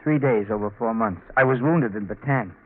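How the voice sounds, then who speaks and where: An actor playing a guarded, quietly menacing young drifter in a 1940s radio drama, laconic in manner. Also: male voice, lowish voice, fairly quick, natural voice. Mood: guarded, probing. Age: young adult. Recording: scratchy, tinny 1940s radio recording.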